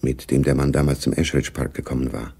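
A man narrating a spy radio drama gravely, with a deep, gravelly voice. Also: fairly even intonation, fairly quick, mellow, heavily acted.

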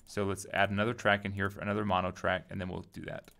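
Low, gravelly man giving a tutorial. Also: flat delivery, quick pace, fairly bright tone. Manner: informal, thinking aloud as they go. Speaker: tutorial host. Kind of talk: casual screen-recorded software tutorial.

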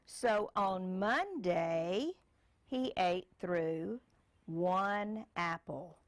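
An older woman reading a picture book aloud to a child, deliberate and pausing for the child to join in. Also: lowish voice, lively intonation, no echo. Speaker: storyteller grandmother reading to a child. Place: screened porch.

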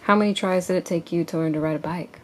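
A woman reading a picture book aloud to a child at home and asking instructive questions in a deliberate way. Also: on the low side, fairly even intonation, darkish tone, slight background noise. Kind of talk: expressive read-aloud and gentle questioning.

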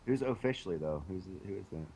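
A man's voice: low-pitched, fast talker, breathy edge. A young man streaming a game for the first time, chatting casually with friends and swearing often. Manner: swears a lot, excited questions. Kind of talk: casual gaming chat.

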